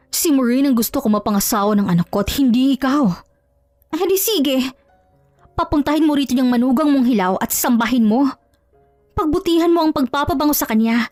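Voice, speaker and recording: A woman voicing a haughty, shrill-pitched scolding mother. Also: darkish tone, dry recording, close to an everyday voice. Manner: bossy, sneering, scolding. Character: haughty, scornful middle-aged mother of the boyfriend. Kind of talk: dramatized audio love story.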